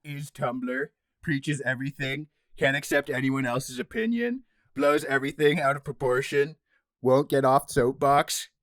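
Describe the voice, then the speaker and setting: A man's voice: medium pitch, steady tone, a little slow, fairly bright tone, no echo, natural voice. A man voicing an abrasive, sarcastic teenage boy in an amateur webcomic dub, snide and grumbling. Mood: hostile, mocking. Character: abrasive sarcastic teenage boy, anonymous 4chan website personified.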